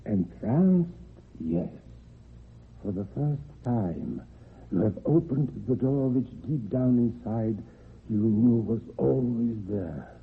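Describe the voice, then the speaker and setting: An actor playing a calm, hypnotic male spirit from another world, in old-time radio drama style. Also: medium pitch, breathy edge, heavily acted. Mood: insistent, reassuring. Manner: slow, solemn, hypnotic, reassuring. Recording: dull lo-fi old radio recording, hiss.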